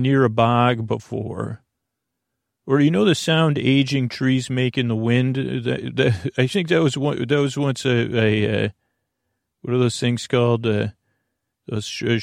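A man with a creaky, gravelly voice hosting a sleep podcast, meandering and halting through tangents and filler words. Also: mid-range voice, no room sound, clean studio sound. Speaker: podcast host. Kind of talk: rambling bedtime sleep podcast monologue.

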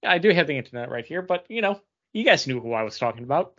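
A man's voice: a little high, sing-song, rapid-fire, a bit bright. A man co-hosting a podcast, chatting in a casual, informal style. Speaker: podcast co-host. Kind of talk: casual chat. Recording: dull, lo-fi audio.

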